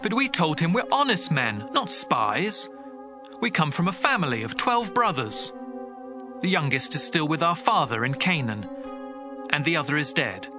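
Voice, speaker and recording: A man's voice: a little high, very animated, normal speed. A man playing an earnest, anxious and humble brother from ancient Canaan in an audio Bible drama, respectful and pleading. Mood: earnest, aggrieved. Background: music.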